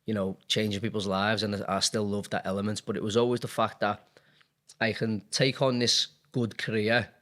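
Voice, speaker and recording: A man's voice: on the low side, little pitch movement, normal speed, a bit bright. A man in his thirties with a Liverpool accent, a dentist talking candidly and rambling a little in a casual interview. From Liverpool, England. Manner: candid, rambling, many fillers. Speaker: dentist and business owner.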